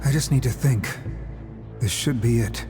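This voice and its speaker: A man's voice: mid-range voice, a little slow, loud music behind the voice, close to an everyday voice. A man playing a nervous, bumbling bandit underling in a game cutscene, anxious and prone to stammering. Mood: uneasy. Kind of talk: video game cutscene acting.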